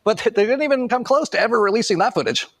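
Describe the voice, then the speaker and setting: A man's voice: high-pitched, animated, quick pace, dry recording. A man giving casual, rambling online commentary, often chuckling sardonically as he makes his points.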